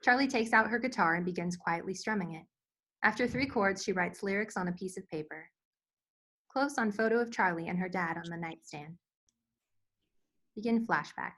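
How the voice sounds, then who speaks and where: Female narrator with a medium-pitched voice for reading screenplay action and scene headings. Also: normal speed, long pauses, a bit bright. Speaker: narrator reading stage directions. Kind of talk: scripted narration for screenplay table read.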